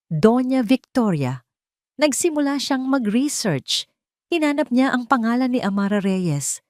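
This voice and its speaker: A woman's voice: fairly low pitch, a little mellow, no echo, clean studio sound. A woman reading a scripted romance story as a dramatic narrator.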